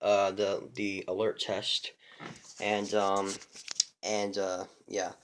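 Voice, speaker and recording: A man's voice: fairly low pitch, completely flat delivery, slow pace, a little hiss or hum, rough recording. A young man giving a casual show-and-tell of his radio collection, rambling, with many fillers and restarts.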